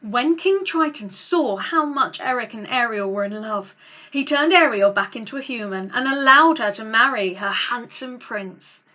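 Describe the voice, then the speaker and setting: A woman tells a children's story in a fairly high, very lively voice, hosting a read-along. Her delivery is dramatic and expressive, pitched for young listeners. The sound is slightly dull and lo-fi. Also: normal speed. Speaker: storyteller, channel host.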